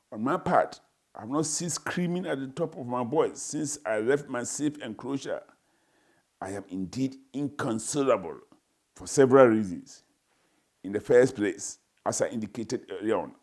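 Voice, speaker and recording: A man's voice: mid-range voice, a little mellow. Indignant newborn for a dramatized story, wordy and pompous, Ghanaian accent, played by a man. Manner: formal, pompous, florid phrasing. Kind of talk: dramatized first-person reading.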